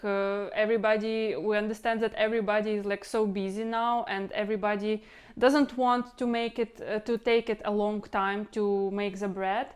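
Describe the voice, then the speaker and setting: Clear-voiced woman with a Ukrainian accent. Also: mid-range voice. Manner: rambling, repeats words, non-native phrasing. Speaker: home cooking channel host. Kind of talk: casual instructional vlog talk.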